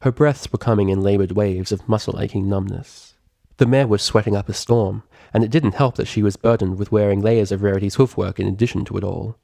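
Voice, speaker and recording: A man reading fiction aloud as a scripted narrator, expressive and articulate in a dramatic storytelling manner. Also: fairly low pitch, a little fast, vocal fry, no room sound, studio quality. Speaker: audiobook reader of fan fiction.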